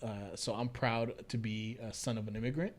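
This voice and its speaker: A man's voice: lowish voice, steady tone, normal speed. An American man co-hosting a podcast, talking casually and explaining his views in an earnest way. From United States. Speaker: podcast co-host. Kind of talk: casual conversational discussion.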